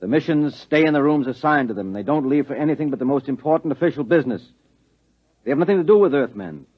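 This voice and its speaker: A man's voice: fairly high pitch, lively intonation, quick pace. A man playing a skeptical, sarcastic policeman husband with a dry, irritated manner in a 1950s radio drama. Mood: firm, disgusted. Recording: muffled old 1950s radio broadcast.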